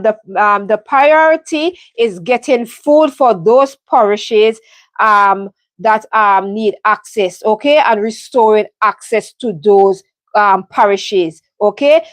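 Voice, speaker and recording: A woman from the Caribbean speaks earnestly on her live stream, pausing often with ums and starting sentences over. Her voice sits a little high. The sound is dry and close, with no room echo. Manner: earnest, heartfelt, many ums and restarts. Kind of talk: casual live stream update. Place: home in London.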